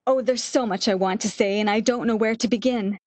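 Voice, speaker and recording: A woman's voice: fairly high pitch, steady tone, fairly quick, a little mellow, no echo, natural voice. A woman playing a bedridden, lovesick woman in a radio romance, with breathless, emotional, old-fashioned melodramatic delivery. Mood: emotional, relieved. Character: bedridden lovesick woman, wistful, eager, longing for lost love.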